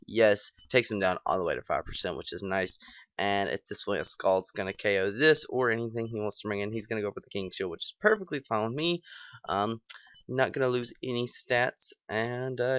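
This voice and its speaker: A man's voice: lowish voice, a bit bright, no room sound. A young man with a sick, scratchy, stuffed-up voice, casually commenting on his own online game battles and thinking aloud with many ums. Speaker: gaming channel host.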